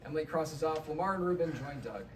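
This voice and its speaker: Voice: medium pitch, animated, quick pace, far from the mic. The recording is echoey and noisy. A narrator reads out scripted scene directions for a comedy table read, some way from the mic. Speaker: narrator reading stage directions.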